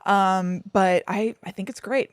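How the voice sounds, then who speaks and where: A woman's voice: medium pitch, fairly even intonation, measured pace, clean tone, no echo. A woman with an American accent co-hosting a podcast, chatting casually and agreeably about film in an upbeat way. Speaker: podcast co-host.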